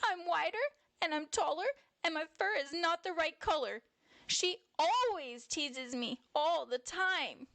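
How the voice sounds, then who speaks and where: A woman's voice: sits high, fairly even intonation, takes it slowly, vocal fry, few pauses, dry recording, voice pushed up, heavily acted. A woman voicing a sad, hurt young girl bear in a storybook, with a plaintive, childlike, crying manner. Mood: upset outburst.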